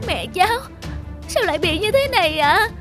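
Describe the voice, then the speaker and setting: A woman's voice: very high-pitched, expressive, normal speed, over music. A woman voicing an emotional, pleading young girl in a cartoon drama. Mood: worried, remorseful. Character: distressed, bitter young girl who lost her leg.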